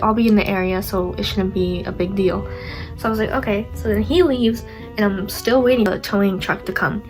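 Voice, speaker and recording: A woman's voice: mid-range voice, poor audio. A young woman vlogger with a stuffy nose, telling a story in a chatty, rambling way, full of "like" and self-mocking asides. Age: young adult. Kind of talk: casual storytime.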